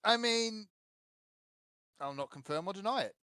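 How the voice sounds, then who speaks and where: A man's voice: high voice, expressive, measured pace, bright, forceful tone, smooth, dry recording, studio quality. A man joking and teasing on a casual podcast. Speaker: podcast co-host. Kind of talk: casual chat.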